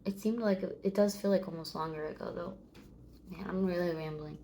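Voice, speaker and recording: A woman's voice: lowish voice, steady tone, a little fast, mellow, a little hiss or hum. A woman in her thirties chatting casually to the camera, rambling and meandering, swearing now and then. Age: 30s. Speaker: vlogger.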